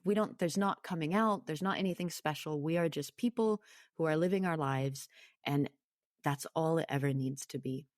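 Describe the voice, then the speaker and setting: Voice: medium pitch, moderate pace, dry recording, clean studio sound. A podcast guest talking conversationally in an interview, reflective but emphatic.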